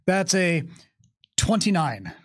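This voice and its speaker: High-voiced man playing a tabletop game. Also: takes it slowly. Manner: playful, expressive. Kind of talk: casual game-table chat. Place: indoors at a gaming table.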